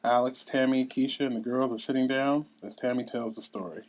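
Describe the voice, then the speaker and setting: A man's voice: medium pitch, fairly even intonation, brisk. A man narrating a script at a table read, reading out scene headings and action. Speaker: narrator reading stage directions.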